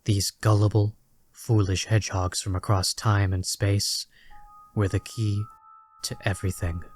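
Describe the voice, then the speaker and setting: Low-voiced man narrating a dramatic story. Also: little pitch movement, unhurried, a little hiss or hum.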